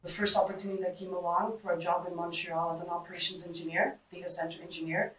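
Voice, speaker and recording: A woman's voice: fairly low pitch, flat delivery, fast talker, a bit bright. A middle-aged woman, a native Greek speaker, giving short interview answers. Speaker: data center engineer being interviewed. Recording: roomy, distant mic, background noise.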